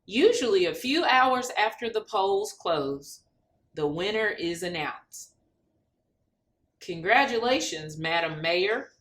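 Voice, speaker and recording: A woman reads aloud for children with a bright, clear-cut edge to her voice. She takes her time, and her pitch swings widely as she expresses each phrase. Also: expressive, slow pace. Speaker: reader of a children's picture book.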